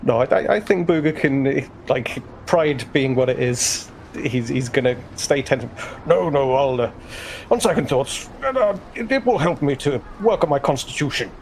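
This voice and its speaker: A man's voice: mid-range voice, animated, normal speed, gravelly, slight background noise. A man playing a tabletop role-playing game, chatting casually in a hesitant, polite way.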